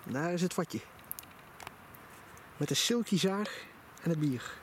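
Guy vlogging outside in the woods, high voice, really into it, noisy camera audio. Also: lively intonation, slow, fairly bright tone. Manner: enthusiastic. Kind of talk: casual outdoor vlog commentary. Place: outdoors in a forest at night by a campfire.

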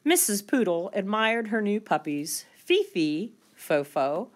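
A woman reading a children's picture book aloud as storyteller, expressive and deliberate, drawing out key words for young listeners. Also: medium pitch.

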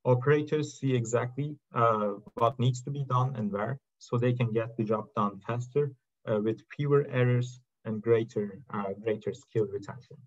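A man giving a prepared technical slide presentation in a halting way, with frequent uhs between short phrases. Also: medium pitch. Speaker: presenter from a technology company's research and product team. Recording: dull, lo-fi video-call audio.

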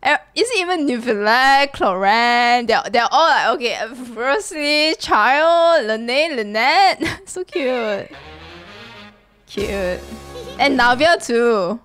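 High, animated young woman reacting. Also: slow pace, faint music behind. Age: young adult. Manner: animated, giggly, exclaiming. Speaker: reaction video host. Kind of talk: excited live reaction commentary. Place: small indoor room. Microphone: desk microphone close to her.

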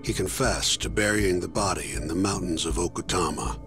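An actor playing a jaded, exasperated middle-aged lawyer in a video game, blunt and world-weary in manner. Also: male voice, low-pitched, normal speed, vocal fry. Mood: matter-of-fact. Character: jaded, exasperated older male defense lawyer. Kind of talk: video game cutscene acting. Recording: echoey hall reverb, music behind.

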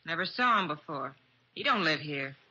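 An actress playing a curt, indifferent American rooming-house manager in an old radio drama, blunt and folksy. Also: female voice, low voice, expressive, normal speed, pushed and bright, breathy edge, full character voice. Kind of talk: vintage radio crime drama dialogue. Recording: dull vintage radio recording.

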